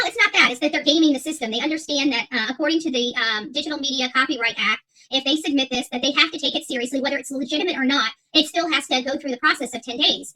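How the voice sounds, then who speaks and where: High, bright-voiced woman ranting on livestream. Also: low-quality recording. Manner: emphatic, repeats phrases, combative. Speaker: YouTube channel host. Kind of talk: unscripted livestream rant, reading and answering viewer chat.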